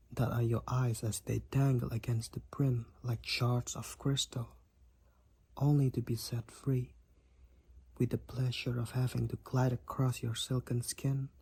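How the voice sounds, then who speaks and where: Man playing a husky, slow-talking, devoted vampire boyfriend. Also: mid-range voice, mellow, no echo. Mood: tender, concerned. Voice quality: breathy, husky. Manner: archaic, formal, tender, slow and deliberate wording. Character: devoted, overprotective, courtly male vampire boyfriend. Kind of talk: intimate scripted boyfriend audio roleplay.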